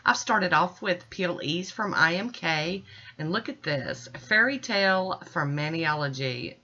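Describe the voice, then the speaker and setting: A woman's voice: lowish voice, animated, measured pace, sharp tone, highs cut off. A middle-aged American woman giving a chatty, folksy and informal voice-over as a nail art vlogger. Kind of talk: casual tutorial voice-over.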